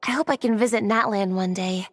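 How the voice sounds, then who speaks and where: A woman's voice: medium pitch, a little fast, dry recording, close to an everyday voice. A woman voicing a shy, wistful young girl in a video game, speaking hesitantly.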